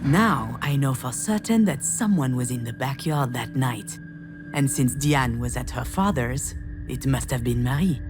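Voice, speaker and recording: Game narrator: wistful, uneasy woman in her thirties, low-pitched, introspective and confiding, music underneath. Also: animated, a little slow. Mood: uneasy, musing. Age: 30s. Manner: musing, hesitant, confiding. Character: reflective 36-year-old woman recalling her childhood, uneasy and wistful. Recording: music behind the voice.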